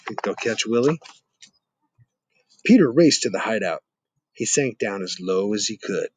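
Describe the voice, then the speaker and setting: A man's voice: on the low side, expressive, unhurried, breathy edge, no echo, rough recording. A middle-aged man reading a children's story aloud expressively, with dramatic questioning asides to young listeners. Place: outdoors in a garden.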